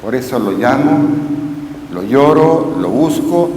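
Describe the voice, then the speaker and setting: A Chilean man giving a parish lecture, speaking slowly and reading quotations with measured emphasis. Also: mid-range voice, lively intonation, darkish tone. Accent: Chilean Spanish. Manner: slow, measured, reads quotes with emphasis. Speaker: lay Carmelite speaker. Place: parish church. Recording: echoey church hall, faint noise.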